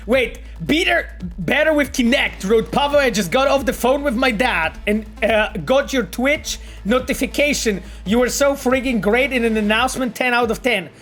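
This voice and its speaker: A man's voice: very high-pitched, moderate pace, faint music behind. Chatty Polish-accented man streaming. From Poland. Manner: animated and rambling, with frequent fillers, repeats and laughs. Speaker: game developer streaming and reading chat. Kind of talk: casual live-stream chat.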